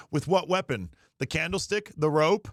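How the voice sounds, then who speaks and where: A man's voice: fairly high pitch, takes it slowly, a bit bright, no echo, studio quality. A man chatting casually on a livestream while he plays a video game. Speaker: game streamer.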